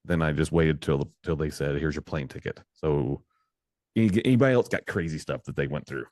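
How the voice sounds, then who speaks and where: Male podcast host, very low voice, dry close sound, loose rambling delivery. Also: fairly quick, a little mellow, dry recording. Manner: rambling, restarts, upbeat hype. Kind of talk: casual video-call podcast chat.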